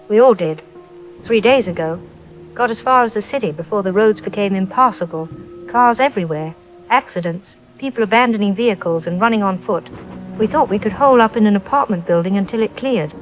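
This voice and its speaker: A woman playing a frightened, weary survivor calling in to a newscast, speaking in halting fragments over a phone line. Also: mid-range voice, lively intonation, faint music behind. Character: frightened, exhausted woman survivor trapped by zombies. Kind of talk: phone call to news, audio drama. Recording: dull phone-line sound, rain behind.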